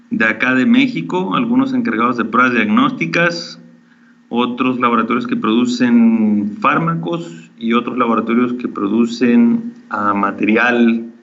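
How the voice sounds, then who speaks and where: A Mexican man in his forties with a slightly mixed Mexican and Chilean accent, a veterinarian opening an online lecture in a friendly, halting way with many fillers. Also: medium pitch, fairly bright tone, muffled recording. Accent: Mexican with some Chilean. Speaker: veterinarian giving a webinar talk.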